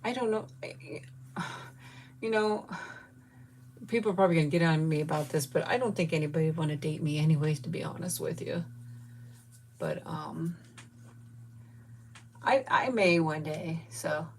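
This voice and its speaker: A woman in her fifties chatting candidly with viewers, grieving and close to tears, rambling with soft laughs and trailing pauses. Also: low-pitched, slight background noise. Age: 50s. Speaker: vlogger chatting with live viewers. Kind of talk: casual live chat.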